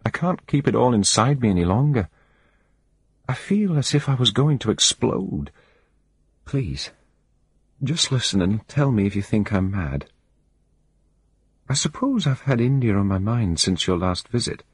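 A distraught middle-aged woman pleads in a trembling, tearful voice, as a male narrator puts her on. Her voice sits far above the reader's own low register and keeps a husky edge. The sound is close and dry, with no room around it. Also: sing-song, a little slow, pauses often, dry recording, full character voice. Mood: shaken, confiding.

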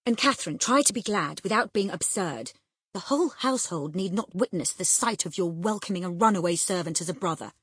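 Woman acting a peevish, disdainful young gentleman. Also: on the low side, animated, brisk, husky, no room sound, studio quality. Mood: vexed disdain. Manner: cross, fussy, scornful. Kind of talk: audiobook character acting.

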